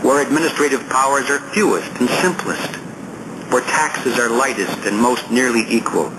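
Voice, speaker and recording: A male audiobook narrator delivers a scripted essay at a medium pitch. His reading is deliberate and oratorical, with firm emphasis on key words. Clear noise sits behind the voice throughout the recording.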